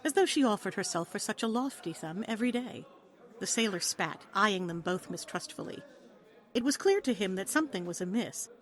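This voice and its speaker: A woman reading a story aloud as narrator, in a dramatic, scripted storytelling style. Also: mid-range voice.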